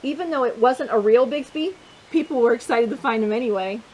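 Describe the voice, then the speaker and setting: A woman with a high voice reads a children's picture book as a storytime host. She is expressive in her delivery and often steps out of the text to chat or put questions to her young listeners. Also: brisk, lo-fi sound. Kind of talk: read-aloud children's storytelling with chatty asides.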